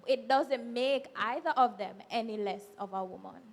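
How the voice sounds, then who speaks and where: A young woman panelist making her case in a measured, persuasive way. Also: on the high side. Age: young adult. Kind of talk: live panel discussion. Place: event hall, live audience. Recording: hall reverb with faint noise.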